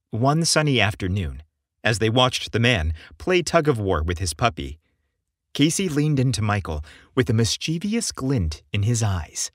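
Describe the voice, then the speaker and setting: A male audiobook narrator tells a story in a measured way. His pace is slow and his intonation is lively. The recording is dry, with very little room sound. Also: lowish voice, no echo. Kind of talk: scripted fiction narration.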